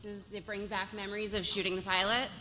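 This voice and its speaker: A woman's voice: medium pitch, flat delivery, fast. A woman moderator putting questions to a panel at a live event. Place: large hall at a live festival event. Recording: noisy echoey hall, live event.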